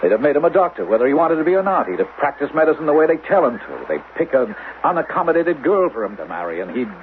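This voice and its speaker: An actor playing a determined, reassuring husband and doctor in a 1970s radio drama, coaxing urgently and moving between hushed and emphatic speech. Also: male voice, fairly high pitch, sing-song, quick pace. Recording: narrowband, faintly noisy 1970s radio recording.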